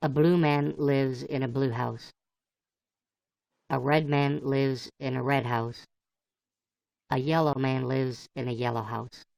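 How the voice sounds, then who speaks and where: A male livestream host chatting casually through a voice changer. His delivery is slow and easygoing, with a gravelly creak, and the sound is close and dry. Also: medium pitch, fairly even intonation, darkish tone, dry recording. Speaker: livestream host playing a computer-generated fox.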